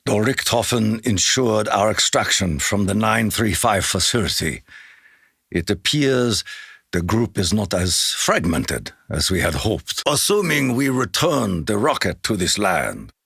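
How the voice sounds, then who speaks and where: A grave, honour-bound samurai warrior for a video game, with a Japanese accent. The voice is bright and forceful, with a husky gravel to it. Each line is deliberate and portentous, swinging widely in pitch, in a close, dry sound. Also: male voice, dry recording, exaggerated voice. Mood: grave, brooding. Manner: formal, portentous, deliberate delivery. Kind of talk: theatrical video game character lines.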